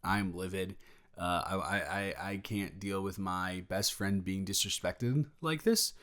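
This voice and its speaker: A man playing a sheepish, protective best friend in an improvised audio roleplay, talking in a halting, rambling, awkwardly candid way. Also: low voice, a bit bright. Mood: agitated, sheepish. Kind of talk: improvised audio roleplay, intimate conversational monologue.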